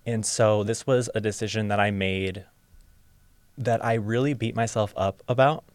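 Young man, from Ohio, podcast guest, reflective, slightly halting, low voice, dry close sound. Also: fairly even intonation, dry recording. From Ohio, USA. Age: young adult. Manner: open, reflective, a little halting. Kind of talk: casual interview storytelling.

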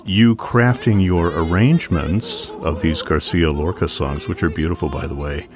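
Very deep-voiced male interviewer. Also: moderate pace, music in the background. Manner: hesitant, with many fillers. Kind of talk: interview questions.

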